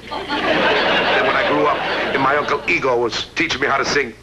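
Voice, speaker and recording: A gravel-voiced self-pitying cop. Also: male voice, medium pitch, wildly swooping pitch, normal speed, a loud crowd, heavy background noise. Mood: mournful. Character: middle-aged male cop, gloomy, self-pitying, soft-hearted.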